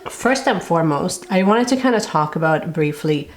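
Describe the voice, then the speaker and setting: Low-voiced woman, raspy from a cold. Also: lively intonation, normal speed, slight background noise. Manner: chatty, lots of fillers like kind of and like. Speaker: planner channel host. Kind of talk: casual chat to camera.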